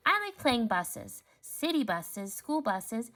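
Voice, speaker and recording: A woman's voice: fairly high pitch, big pitch swings, slow, pushed and bright, exaggerated voice. A woman voicing a focused little boy in a storybook, speaking matter-of-factly about his interests. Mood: absorbed. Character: focused little boy absorbed in bugs and buses.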